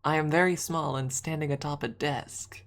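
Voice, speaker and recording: A low, gravelly young woman chats casually with her stream, her voice a little snuffly. She rambles and restarts her sentences as she goes, sometimes dropping to a soft breathy hush. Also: steady tone, normal speed.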